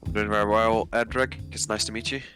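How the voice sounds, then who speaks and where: A man's voice: fairly low pitch, level tone, quick pace, pushed and bright, soft background music. A man in his twenties from Nigeria, speaking casually as a guest in an interview. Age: 20s.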